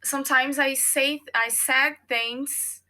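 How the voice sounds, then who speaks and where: A Brazilian woman speaks English with a clear Brazilian Portuguese accent. Her voice sits fairly high and sounds bright and forceful. She is a native Portuguese speaker and former English teacher, and she works her way through each thought, often pausing to find the right word. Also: steady tone. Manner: thoughtful, searching for words. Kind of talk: casual interview chat.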